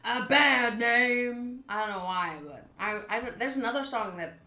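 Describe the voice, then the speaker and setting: A young woman streaming a game, talking to chat in a playful, animated, jokey way. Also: medium pitch, brisk, echoey room. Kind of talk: casual livestream reaction.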